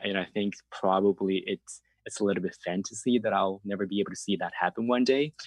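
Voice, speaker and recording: A man's voice: on the low side, no echo. A man from China, a native Chinese speaker, giving earnest, reflective interview answers as a journalist and explaining his points conversationally.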